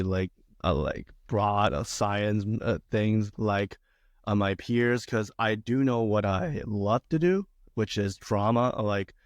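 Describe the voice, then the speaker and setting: A man's voice: fairly low pitch, dry recording, studio quality. A young man from China, a theater student, talking casually as an interview guest with many fillers and restarts. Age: young adult.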